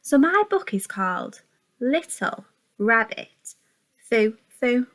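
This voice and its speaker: A woman's voice: fairly high pitch, sing-song, slow, fairly bright tone. A woman teacher reading a picture book to young children in a sing-song, exaggerated storytelling way, with questions to the listeners. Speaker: nursery and reception teacher.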